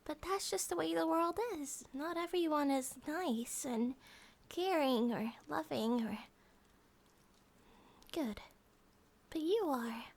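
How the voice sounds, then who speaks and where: A woman voicing a gentle, affectionate monster-girl bear wife in a soft ASMR comfort roleplay, often dropping to a whisper. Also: high-pitched, slow pace, creaky, pauses often, full character voice. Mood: tender, caring.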